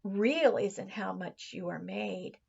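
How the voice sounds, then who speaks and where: A very old toy horse from a storybook, as a woman reader puts it on, wise and gentle. The delivery is hushed and patient, with long, slow phrasing and a creaky edge. The recording sounds slightly dull. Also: medium pitch, exaggerated voice.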